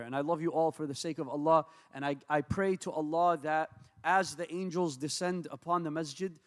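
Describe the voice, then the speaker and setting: Fast-talking imam in an echoing hall. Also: male voice, on the high side. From United States. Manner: fervent, fast Arabic invocations with English preaching. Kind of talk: mosque talk with Arabic recitation. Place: large mosque hall. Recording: long hall reverb.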